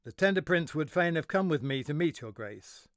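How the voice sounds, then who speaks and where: A man's voice: fairly high pitch, animated, normal speed, dry recording, clean studio sound. A man playing a principled, dutiful lord at court, speaking formal, earnest verse in a Shakespearean audio drama. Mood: apologetic.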